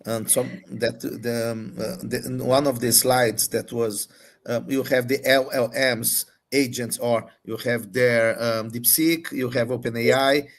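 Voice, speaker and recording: A man moderating a live Q&A, putting questions in a halting way as he searches for words and rephrases. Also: medium pitch, steady tone. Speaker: moderator relaying audience questions.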